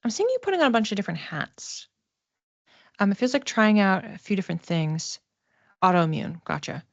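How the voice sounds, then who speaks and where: A woman giving a casual live psychic reading, speaking conversationally and tentatively, thinking aloud with pauses as she explains. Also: medium pitch, very animated, gravelly, no room sound, dull audio.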